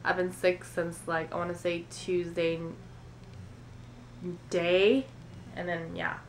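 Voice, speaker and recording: Low-voiced young American woman, slightly congested. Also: monotone, slow pace, slight background noise. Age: 20s. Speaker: beauty and shopping vlogger. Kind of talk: casual chatty shopping haul to camera. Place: indoor room at home.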